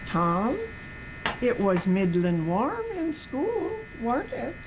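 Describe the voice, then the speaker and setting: A woman's voice: on the high side, wildly swooping pitch, very slow, background noise, pitched above the performer's own voice, full character voice. A woman voicing a shrewd, fond older aunt in folksy rural dialect, as in a storybook. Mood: probing, sly.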